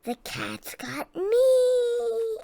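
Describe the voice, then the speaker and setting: A woman voicing panicked mouse townsfolk in a storybook, crying for help in shrill squeaks. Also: sing-song, very slow, vocal fry, warm tone, full character voice.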